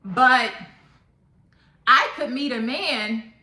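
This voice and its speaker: A woman's voice: a little high, drawn-out words, pushed and bright. A woman in her thirties giving a casual opinion monologue to viewers, blunt and emphatic, often repeating points for emphasis. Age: 30s. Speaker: topic channel vlogger. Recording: echoey room.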